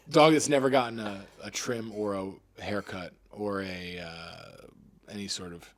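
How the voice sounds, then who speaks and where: A man's voice: fairly low pitch, slow pace. A man from Florida co-hosting a comedy podcast, riffing with wry, playful banter. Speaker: comedian co-host. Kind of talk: casual podcast chat.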